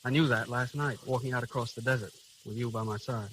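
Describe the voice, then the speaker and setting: A man's voice: mid-range voice, fairly even intonation, fast talker, fairly bright tone, lightly acted. A man acting an earnest, polite young waiter in a 1930s radio drama. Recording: hissy, crackling 1930s radio recording.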